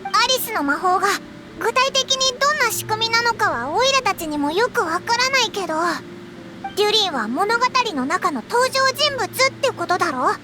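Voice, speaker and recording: Very high squeaky little fairy. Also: female voice, over loud music. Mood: worried, puzzled. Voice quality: squeaky, childlike. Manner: excitable, rapid-fire. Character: small childlike fairy companion, chatty and worried. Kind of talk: Japanese anime game acting.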